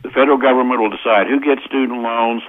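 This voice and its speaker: An American man explaining his points methodically as an interview guest, with hesitant pauses, over a tinny phone line. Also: medium pitch, steady tone, a little hiss or hum. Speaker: interview guest, constitutional lawyer. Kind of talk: explanatory radio interview answers.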